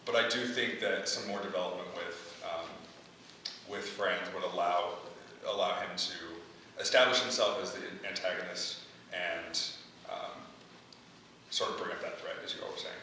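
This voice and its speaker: A man's voice: deep voice, moderate pace, pushed and bright. A man leading an informal feedback discussion as the playwright, speaking hesitantly and groping for words. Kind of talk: informal question-and-answer discussion. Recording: very echoey room, distant mic, faint noise.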